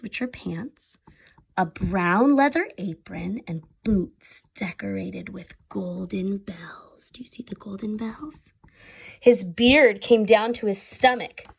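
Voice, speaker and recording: A woman reading a children's story aloud, pausing to explain things simply to her listeners. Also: medium pitch, vocal fry, breathy edge. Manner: pauses to explain words and ask listeners questions.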